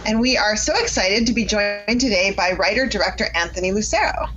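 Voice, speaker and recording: A woman's voice: medium pitch, a little fast, a bit bright, lo-fi sound, slight background noise. A woman hosting a podcast, speaking in a presentational introduction style. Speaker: podcast host.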